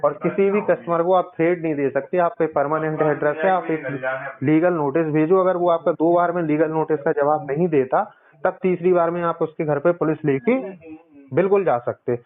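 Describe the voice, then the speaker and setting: Male caller, slightly high-pitched, explains insistently and circles back over points, narrow phone-line sound. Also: moderate pace, crowd noise. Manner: insistent, explanatory, repeats himself. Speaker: caller, loan customer. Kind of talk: casual phone conversation. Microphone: phone call.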